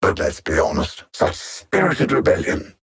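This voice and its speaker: A man playing an arrogant alien android conqueror in a video game, speaking with deliberate, theatrical condescension and cold intellect in a husky voice. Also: low voice, wildly swooping pitch, fairly bright tone, full character voice. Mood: haughty disdain. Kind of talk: video game villain voice lines.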